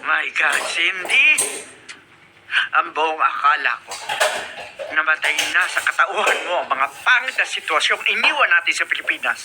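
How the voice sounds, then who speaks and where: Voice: medium pitch, moderate pace, lightly acted. An actor in a radio drama voicing a stern, proud older mother who scolds her daughter. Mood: reproachful. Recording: noisy radio broadcast.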